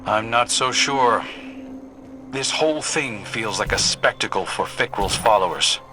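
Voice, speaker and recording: Earnest commander over music. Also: male voice, fairly low pitch, measured pace, bright, forceful tone. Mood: serious, concerned. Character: serious male military commander guiding a soldier. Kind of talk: video game mission dialogue.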